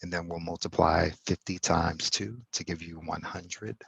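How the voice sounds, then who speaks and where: Very deep, gravelly, flat-voiced man. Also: no room sound, lo-fi sound. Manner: halting, self-correcting explanation with fillers. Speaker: instructor. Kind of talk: screen-recorded coding tutorial.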